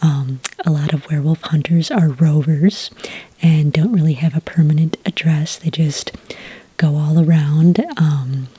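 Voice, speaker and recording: A woman speaks in a very breathy near-whisper, low and dark in tone. She is gentle and unhurried, like a polite official taking details in an ASMR roleplay. The sound is close and dry. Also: fairly even intonation, no echo. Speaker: ASMR roleplayer acting as a werewolf report agent. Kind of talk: soft-spoken ASMR roleplay.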